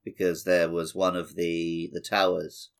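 A man with a fairly low voice chats as a podcast co-host. He is informal and conversational, often qualifying what he remembers about gaming. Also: level tone, unhurried, smooth. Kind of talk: casual chat about gaming.

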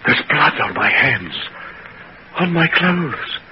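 An actor playing a guilt-stricken, horrified gentleman doctor in 1940s radio melodrama, pleading and halting on an old recording. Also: on the high side, big pitch swings, dull audio, background noise. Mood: horrified despair.

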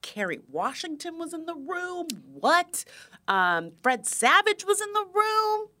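A woman's voice: extremely high pitch, measured pace. A woman with a young-sounding voice co-hosting a podcast, telling stories in a playful, mock-indignant way. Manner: animated storyteller, playfully indignant. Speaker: podcast co-host. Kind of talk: casual chat.

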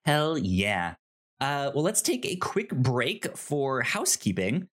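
Podcast host, male, medium pitch, very animated delivery, casual and upbeat. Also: takes it slowly. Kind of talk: casual podcast chat and housekeeping plugs.

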